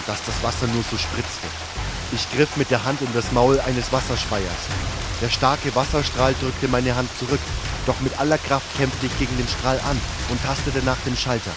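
A man narrating a scripted fantasy adventure in the first person, as a dramatic storyteller. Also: medium pitch.

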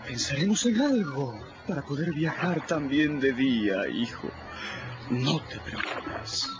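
A man's voice: medium pitch, animated, takes it slowly, highs cut off. An actor playing a theatrical con man posing as a duke, declaiming like a showman in a radio drama. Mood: scheming. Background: music.

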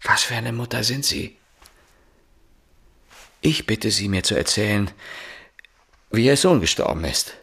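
A weary police inspector in a German radio drama. His voice is low and noticeably husky. He speaks with deliberate, bitter insistence, like an interrogator who expects lies. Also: animated, a bit bright, exaggerated voice. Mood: accusing, pressing.

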